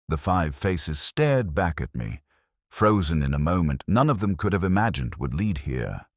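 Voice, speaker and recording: A man narrating a first-person literary detective story in a measured, reflective, melancholic way. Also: extremely low pitch, breathy edge, dry recording, clean studio sound.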